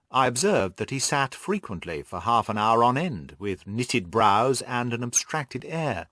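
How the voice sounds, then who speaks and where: A man's voice: medium pitch, fairly bright tone, no echo. Man narrating an audiobook, dry sound. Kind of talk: scripted literary narration.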